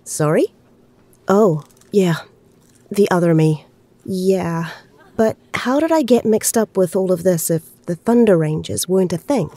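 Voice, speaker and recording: A woman's voice: fairly low pitch, lively intonation, a little slow, mellow, no room sound. A woman acting in an audio drama as an earnest, homesick young woman stranded among rebels, a little hesitant in her speech. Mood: puzzled.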